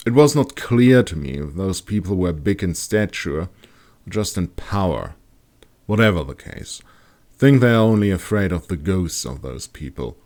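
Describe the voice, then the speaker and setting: A man's voice: deep voice, expressive, moderate pace, darkish tone. A man playing a bold, curious expedition leader with an assured, explanatory manner in an audiobook. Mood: explaining.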